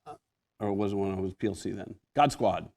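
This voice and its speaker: A gravelly, fairly low-voiced man in his fifties preaches in a church with a Pacific Northwest American accent. His delivery is conversational and self-deprecating, full of restarts. The creak in his voice stays steady throughout. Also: quick pace. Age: 50s. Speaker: guest preacher. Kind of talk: sermon. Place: church sanctuary.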